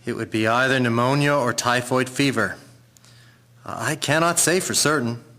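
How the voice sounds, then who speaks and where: A man's voice: mid-range voice, normal speed, sharp tone, close to an everyday voice. A man acting a solemn, matter-of-fact country doctor in a historical audio drama. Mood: grave. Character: grave country doctor delivering bad news, 1910s.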